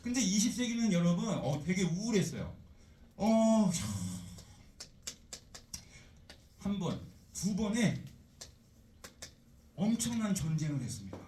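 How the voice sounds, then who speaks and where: A male teacher giving a chatty, animated lecture, full of rhetorical questions. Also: high-pitched, takes it slowly, pauses often, reverberant, far from the mic, a little hiss or hum. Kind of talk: classroom lecture.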